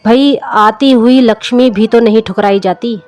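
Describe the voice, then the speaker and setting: A woman's voice: on the high side, a little slow, darkish tone, dry recording, close to an everyday voice. A woman voicing a weary, touchy middle-aged lawyer husband in a story reading. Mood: weary, defensive. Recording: music under the voice.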